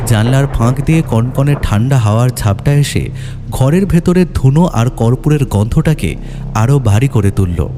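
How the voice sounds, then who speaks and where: Man as horror storyteller: warm dark timbre, swooping dramatic delivery, soft music underneath. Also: mid-range voice. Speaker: narrator.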